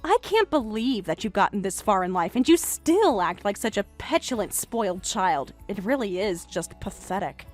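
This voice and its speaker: A woman's voice: medium pitch, big pitch swings, moderate pace, few pauses, soft background music. A woman voicing a mocking, self-assured jilted young woman in a melodramatic story, sarcastic and condescending. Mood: disdainful. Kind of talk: melodramatic narrated story dialogue.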